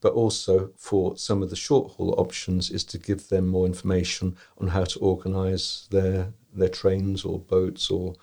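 A man talking as a podcast guest, giving measured, deliberate answers. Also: low voice, little pitch movement, creaky, darkish tone. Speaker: tour company guest.

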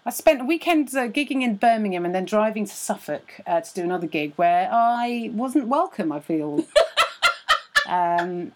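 A woman's voice: medium pitch, animated, moderate pace, slight background noise. Tired woman comedian, rambling. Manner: self-deprecating, rambling with restarts and laughs. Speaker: podcast co-host and comedian. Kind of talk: casual podcast banter. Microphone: recorded without a microphone, on a laptop.

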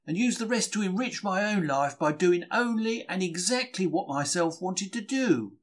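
A man giving an expressive, wry first-person reading of a diary. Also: high-pitched. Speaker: diary reader.

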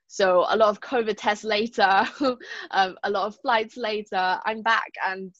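A woman's voice: mid-range voice, fairly even intonation, measured pace, a bit bright, no room sound, muffled recording. A young woman university student answering interview questions in a thoughtful, reflective way, with many fillers. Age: young adult. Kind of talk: casual interview.

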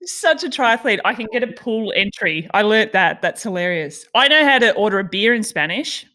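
A woman's voice: medium pitch, expressive, moderate pace, pushed and bright. A woman hosting a podcast in a casual, informal chat, asking inquisitive questions. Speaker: podcast host.

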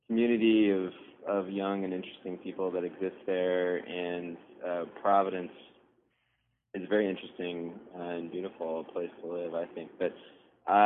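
A young man from coastal Maine with a very deep, even voice, answering questions as a podcast guest. He talks thoughtfully and rambles, often restarting his sentences. He comes through a tinny, phone-like line with a faint hiss underneath. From coastal Maine, USA. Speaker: guest designer. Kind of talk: casual interview answers.

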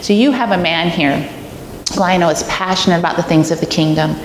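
A woman's voice: on the low side, fairly quick. A middle-aged woman, a guest preacher, speaking in an informal, earnest, conversational way with personal anecdotes. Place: large echoey church room, live audience. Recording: echoey hall, clear background noise.

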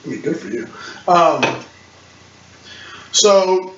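Higher-voiced man with lively, swooping pitch. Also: takes it slowly. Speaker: tabletop game player, playing a paladin. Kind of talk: casual chat during a tabletop game. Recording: roomy, muffled distant mic with clicks, hiss.

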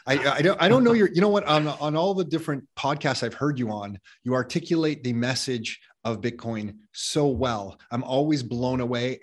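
Male podcast host, eager, talky, mid-pitched, casual interviewer. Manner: enthusiastic, rambling with restarts.

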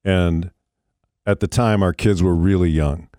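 A man's voice: sits low, fairly even intonation, unhurried, mellow, dry recording, studio quality. A man hosting a radio show, easygoing and laughing along as he tells a story. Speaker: radio host. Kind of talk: casual radio interview.

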